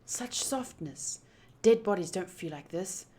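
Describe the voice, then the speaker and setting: A woman streaming a visual novel, reading it aloud deliberately with pauses and chatty asides. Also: fairly low pitch, lively intonation, heavy background noise. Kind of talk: playthrough narration with chatty asides.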